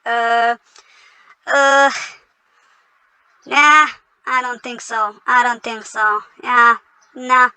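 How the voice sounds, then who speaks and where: A woman's voice: fairly high pitch, flat delivery, extremely slow, long pauses, pushed and bright, smooth, dry recording, low-quality recording. A woman, not an English speaker, reacting to a video in an indignant, mocking tone. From Somalia. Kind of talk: reacting to a clip.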